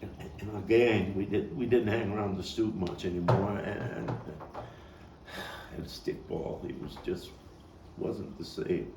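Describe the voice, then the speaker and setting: Guy acting haunted brooklyn man doing a stage monologue about his childhood, really slow n halting, mic far off in a roomy space, bit of noise. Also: on the low side, fairly even intonation. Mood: mournful, wistful. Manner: halting, deliberate, with repeats and weighty pauses. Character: Brooklyn man recalling a haunted, violent 1950s boyhood. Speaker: actor and playwright reading his own monologue. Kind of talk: dramatic monologue at a table read. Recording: roomy, distant mic with faint background noise.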